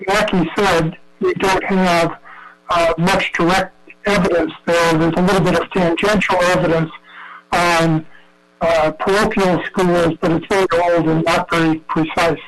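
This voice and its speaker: High-voiced man giving expert remarks. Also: little pitch movement, measured pace, a bit bright, low-quality recording. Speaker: professor and invited expert. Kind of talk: expert testimony over a video call. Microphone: video call.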